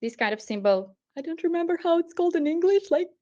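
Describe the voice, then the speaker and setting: A woman's voice: very high voice, expressive, fast talker, dark tone, dull audio. A woman with a Polish accent, whose first language is Polish, giving a casual product walkthrough with chatty, loose phrasing and many fillers. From Poland. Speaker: product presenter.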